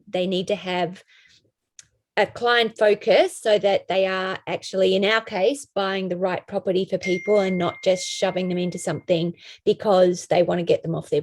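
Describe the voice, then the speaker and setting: A woman's voice: medium pitch, a little mellow, dry recording. A woman on a video call, earnestly explaining why buyers need experts. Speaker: buyer's advocate. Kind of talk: casual video-call discussion.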